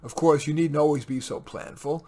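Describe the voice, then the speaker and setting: Older man giving calm advice to camera. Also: on the high side, moderate pace, vocal fry. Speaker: host. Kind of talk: scripted advice talk to camera. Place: small home office, indoors. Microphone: webcam.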